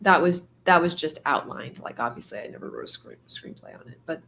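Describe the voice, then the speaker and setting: A woman hosting a writing stream, talking casually with many fillers and laughs, her voice going hoarse. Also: low-pitched, huge pitch swings, a little fast, fairly bright tone, a little hiss or hum. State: losing her voice. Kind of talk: casual chat.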